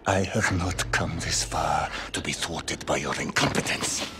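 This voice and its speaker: An actor playing a ruthless middle-aged warlord in a video game, cold, volatile and contemptuous, speaking in a deliberate, menacing way. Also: male voice, extremely low pitch, background noise. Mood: contemptuous impatience. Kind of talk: video game cutscene acting.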